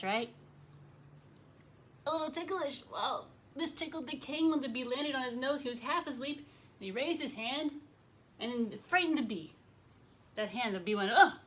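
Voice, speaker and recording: A woman's voice: medium pitch, fairly bright tone, a little hiss or hum. A woman leading a children's story time, narrating expressively and chatting with young listeners in simple words. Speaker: rabbi telling stories to children.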